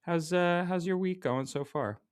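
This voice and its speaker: A man's voice: high voice, moderate pace, smooth. A man chatting casually as the co-host of a podcast. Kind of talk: casual chat.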